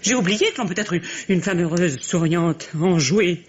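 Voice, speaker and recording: An actress playing a bitter, unhappy middle-aged wife in a French radio drama, halting and repeating words with volatile emotion. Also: female voice, on the low side, lo-fi sound, natural voice. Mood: bitter, weary. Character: bitter, grey-haired, unhappy wife, trapped and theatrical.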